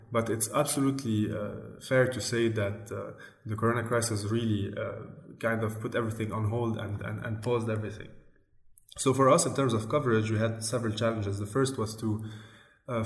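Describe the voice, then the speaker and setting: A man, an Arabic speaker, giving measured, hesitant, analytical answers as a journalist being interviewed. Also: on the low side. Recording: echoey room.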